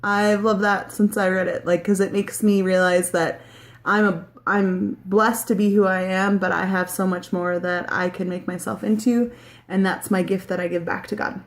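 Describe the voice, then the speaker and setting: A woman's voice: mid-range voice, level tone, moderate pace. Chatty woman in her late thirties. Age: 30s. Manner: chatty, rambling, many ums and likes, laughs easily. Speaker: vlogger. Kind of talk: casual chat answering a tag video.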